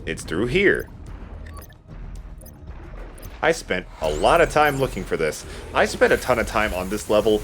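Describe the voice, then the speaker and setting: A man's voice: lowish voice, very animated, moderate pace, fairly bright tone, soft background music. A man giving casual, informal Let's Play commentary while playing a video game.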